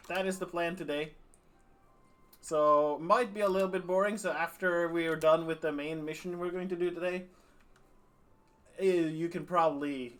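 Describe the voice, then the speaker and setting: A man talking through a strategy game on a live stream, his voice sitting a little on the high side. He rambles loosely, thinks aloud, and makes light of himself between plans. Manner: rambling, relaxed, self-deprecating. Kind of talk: casual game stream commentary.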